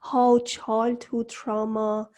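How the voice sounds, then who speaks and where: A woman's voice: a little high, flat delivery, very slow, mellow. A woman hosting an interview, speaking English as a second language with enthusiastic, loose phrasing. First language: Persian. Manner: enthusiastic, non-native phrasing, laughs often. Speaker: host.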